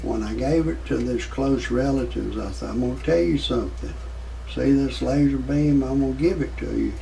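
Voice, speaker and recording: A man in his sixties giving rambling, off-the-cuff commentary in a folksy, repetitive, halting way, as an amateur video host. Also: mid-range voice, creaky, dark tone, far from the mic, slight background noise, poor audio. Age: 60s.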